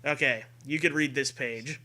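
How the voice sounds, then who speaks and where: A man's voice: medium pitch, steady tone, moderate pace, pushed and bright. A man hosting a comedy show, reading parody fiction aloud theatrically and prone to breaking into laughter. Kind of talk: comic read-aloud of parody fiction with banter.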